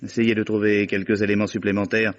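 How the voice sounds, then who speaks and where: A man's voice: lowish voice, monotone, quick pace, natural voice. An actor playing a shrewd, sardonic man in a radio mystery, speaking with biting, assured irony. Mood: sardonic, accusing. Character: shrewd, sardonic male friend of the accused. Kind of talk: French radio mystery drama acting. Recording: dull, muffled old radio recording.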